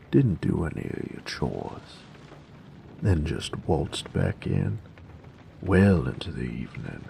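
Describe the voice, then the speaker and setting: A jaded bandit acted by a man drawls slowly in slangy, folksy speech for a roleplay audio drama. The voice is rock-bottom deep and thick with gravel, sardonic and gruff. There is faint noise behind it. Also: warm tone, heavily acted. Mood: bitter, resigned. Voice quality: gravelly, very low voice. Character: gruff, jaded male bandit who grew up drifting with a carnival.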